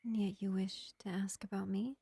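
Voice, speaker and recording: A woman playing a gentle, ancient pacifist vampire in an intimate fantasy roleplay, speaking in an unhurried, soothing half-whisper. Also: medium pitch, steady tone, gravelly, husky, no room sound. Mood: soft, confiding. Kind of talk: slow intimate ASMR-style fantasy roleplay.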